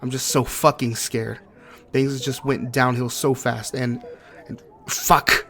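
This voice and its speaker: A man acting as a grieving, guilt-ridden partner in an intimate audio roleplay, speaking tenderly and haltingly to a sweetheart. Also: mid-range voice, level tone, a bit bright. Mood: frightened, shaken. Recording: clearly noisy track with ambience.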